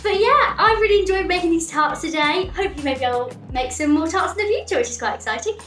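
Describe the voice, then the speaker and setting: Female cooking presenter, high and lively, informal, echoey distant recording. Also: fast talker, soft background music. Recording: echoey room, distant microphone.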